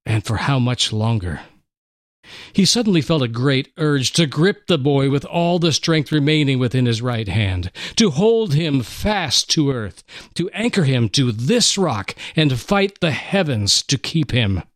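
A man co-hosting a radio program, narrating a story in an expressive, theatrical reading. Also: medium pitch, unhurried, dry recording, studio quality. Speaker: co-host, dramatic reader.

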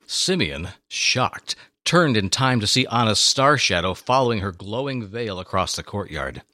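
A man narrating a scripted story in a solemn, expressive, dramatic way on a close microphone. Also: fairly low pitch, measured pace, dry recording. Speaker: show host and story narrator.

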